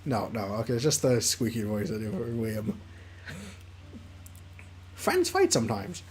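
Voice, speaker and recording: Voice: mid-range voice, steady tone, a little fast, darkish tone, slightly rough. A streamer playing a visual novel, reading it aloud with goofy, joking asides.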